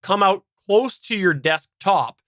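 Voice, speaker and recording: A man's voice: high voice, expressive, takes it slowly, fairly bright tone, no room sound. A man co-hosting a casual live podcast chat, speaking close to the microphone in a rambling, self-correcting way. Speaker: podcast co-host. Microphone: close microphone on a boom arm.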